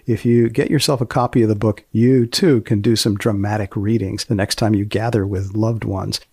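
Male podcast host, husky and creaky, wry scripted narration, close dry sound. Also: on the low side, steady tone, moderate pace, darkish tone, no echo.

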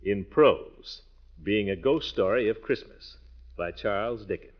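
An actor playing a pompous, blustery middle-aged uncle in a theatrical 1940s radio comedy style. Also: male voice, lowish voice, expressive, unhurried. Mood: settled, fond. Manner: theatrical, pompous, wounded dignity. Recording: muffled old radio broadcast.

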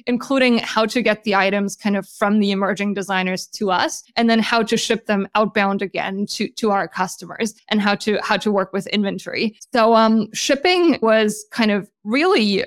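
A woman's voice: mid-range voice, normal speed, few pauses, no echo. German-born woman in her 30s chatting on a podcast, lots of likes and ums, dry close mic. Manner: conversational, many fillers and restarts. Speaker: startup founder, guest. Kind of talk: casual podcast interview.

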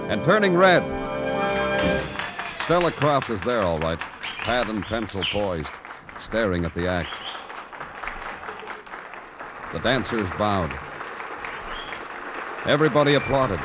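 An actor plays a world-weary police detective in a vintage radio crime show. His mid-pitched voice is terse and wry, with a deadpan hard-boiled delivery. Music plays clearly on the old recording. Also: lightly acted. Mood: hard-boiled, grim. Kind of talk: old-time radio detective drama, narration and dialogue.

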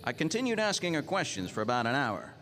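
A man's voice: mid-range voice, wildly swooping pitch, fast talker, fairly bright tone, slight background noise. An actor playing a world-weary, cynical hard-boiled detective in the style of 1940s radio noir, with terse, wry tough-guy talk. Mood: wry, brooding. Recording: live radio drama with music underneath.

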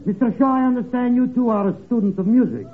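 An actor playing an eccentric Russian would-be musician in a radio comedy, with a mock Russian accent and grandly formal, fractured English. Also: male voice, very high voice, quick pace. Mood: pompous, absurd. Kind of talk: 1940s radio comedy acting. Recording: tinny, noisy vintage radio broadcast.

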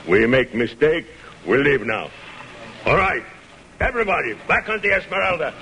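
A blustering buccaneer captain speaks broken English in a Spanish accent, his pitch leaping about. The old radio drama recording has clear background noise. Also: very animated, moderate pace. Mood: flustered. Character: brash Spanish-speaking pirate captain, gruff but courteous.

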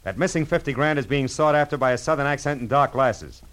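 An American actor playing a tough, wisecracking, world-weary private detective in a 1940s radio noir drama, sardonic and slangy. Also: male voice, mid-range voice, fast talker, a bit bright, close to an everyday voice. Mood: brisk, firm. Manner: hard-boiled, sardonic, slangy.

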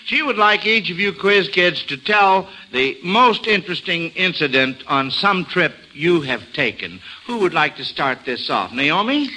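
A man's voice: fairly high pitch, sing-song, a little slow, few pauses, pushed and bright. A jovial, chuckling man hosting a quiz show in a 1940s radio style, on a noisy old broadcast.

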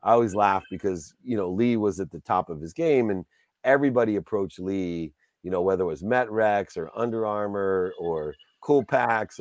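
A man's voice: low-pitched, a little mellow, no room sound, clean studio sound. A man hosting a casual interview, talking in an enthusiastic, rambling way and heaping praise on his guest. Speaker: podcast host.

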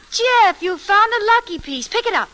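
An actress playing an excitable, curious young wife, devoted and easily alarmed, with eager theatrical delivery in 1940s radio mystery-comedy style. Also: female voice, very high voice, a little slow, full character voice. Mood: excited. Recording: noisy vintage radio broadcast recording.

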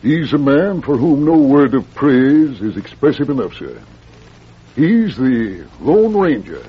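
An actor playing a respectful, decisive cavalry colonel with formal military speech in an old-time radio western. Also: male voice, mid-range voice, sing-song, slow pace, husky, full character voice. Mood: admiring. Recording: muffled, noisy vintage radio recording.

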